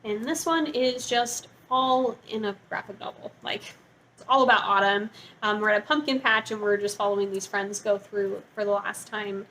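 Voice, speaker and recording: A woman's voice: mid-range voice, moderate pace, fairly bright tone, a little hiss or hum, poor audio. A woman book vlogger talking casually and informally to her viewers, gushing and saying "like" often. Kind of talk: casual chatty book review to camera.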